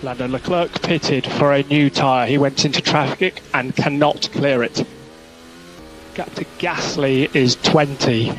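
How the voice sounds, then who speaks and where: A man's voice: mid-range voice, measured pace, fairly bright tone. A man working as a race engineer, giving clipped instructions over team radio and repeating the key calls. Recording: noisy team radio transmission.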